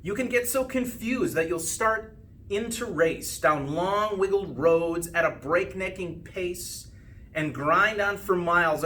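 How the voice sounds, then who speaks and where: A man reading a rhyming children's storybook aloud with expressive, sing-song phrasing and drawn-out line endings. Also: a little high. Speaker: school principal reading a storybook. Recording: distant mic with faint background noise.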